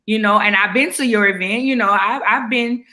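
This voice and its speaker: A woman in her forties hosting a casual chat show, animated and laughing often. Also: mid-range voice, normal speed. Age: 40s. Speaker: podcast host.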